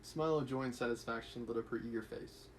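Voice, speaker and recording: A man's voice: medium pitch, fast, slight background noise. Man reading a novel aloud on stream. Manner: casual, reads with light character voices and laughs between asides. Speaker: livestream reader. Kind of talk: reading a novel aloud on a livestream, chatting with viewers.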